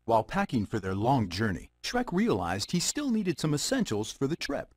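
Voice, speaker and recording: An actor playing a wry, theatrical narrator reading a fairy-tale storybook. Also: male voice, medium pitch, wildly swooping pitch, normal speed, husky, no echo.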